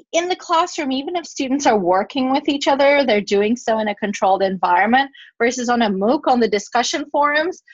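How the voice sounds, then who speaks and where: A woman's voice: a little high, dry recording, muffled recording. A woman whose first language is Turkish, giving a university teaching talk over a video call and answering questions, explaining with fillers and restarts. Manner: explanatory, fillers and self-corrections. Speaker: guest speaker, professor. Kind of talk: webinar talk and Q&A.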